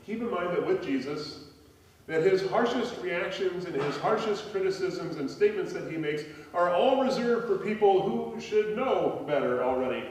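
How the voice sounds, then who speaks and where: A pastor in his forties preaches from the pulpit in a relaxed, storytelling way. His voice sits a little high for a man. He is heard from a distance, with a long echo around the church. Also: moderate pace, a little hiss or hum. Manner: conversational storytelling with gestures, frequent fillers. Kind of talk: sermon. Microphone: pulpit microphone, filmed by a far camera. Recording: echoey church hall, voice from a distance.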